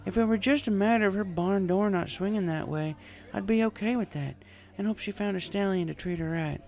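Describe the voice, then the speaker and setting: Here is a man acting out a young female farm pony from a cartoon world, nursing a broken heart. The voice sits high and, with a folksy, plain-spoken way of talking. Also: fairly quick, creaky, darkish tone, slight background noise. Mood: bitter.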